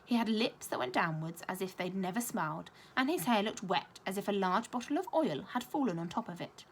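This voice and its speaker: A woman reading a children's story aloud like a primary school teacher, expressive and clearly paced. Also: mid-range voice, brisk, a little hiss or hum.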